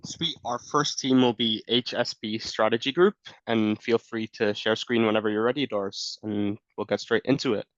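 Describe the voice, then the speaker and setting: A man's voice: on the low side, moderate pace, few pauses, no room sound. A man running an online student competition on a video call, announcing rules in a businesslike way. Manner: businesslike and clear. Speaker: competition organiser. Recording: dull dry video call audio.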